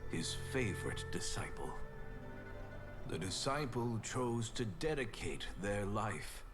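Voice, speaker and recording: An actor playing a gentle, thoughtful healer who tells legends in a video game, measured, solemn and formal. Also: male voice, fairly low pitch, very animated, over loud music. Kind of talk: dramatic storytelling in a video game.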